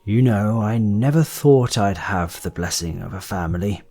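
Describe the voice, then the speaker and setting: An actor playing a courteous, self-deprecating older gentleman sculptor in an audio drama, speaking politely and with gentle affection. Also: lowish voice, lively intonation, moderate pace, soft background music. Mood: fond. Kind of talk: scripted audio drama acting.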